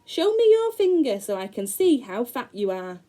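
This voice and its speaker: Storybook witch, old and greedy, bossy orders; woman reader doing the voice, swooping pitch. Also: very animated, normal speed, warm tone. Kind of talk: storybook read-aloud for children.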